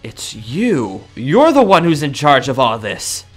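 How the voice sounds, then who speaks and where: A young man improvising in-character gameplay roleplay in a cocky, dramatic, excitable manner. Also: medium pitch, a little slow, faint music behind. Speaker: gaming roleplay video creator.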